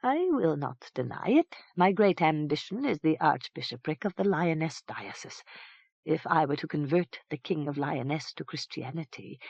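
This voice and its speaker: An audiobook narrator voicing a sly, scheming priest who talks in a smooth, ingratiating way. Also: medium pitch, a little mellow, no echo, muffled recording. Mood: unctuous. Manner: smooth, ingratiating, bleating when alarmed.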